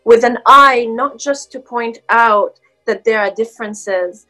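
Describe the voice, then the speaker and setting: A woman's voice: fairly high pitch, a little slow, sharp tone, soft background music, low-quality recording. A woman whose first language is Arabic, speaking as a guest scholar in a casual academic conversation, with many fillers and restarts.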